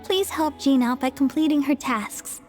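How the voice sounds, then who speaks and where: A woman's voice: high-pitched, moderate pace, a little mellow, lightly acted. A woman voicing an earnest, devoted young woman in a video game, eager, defensive and insistent. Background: faint music.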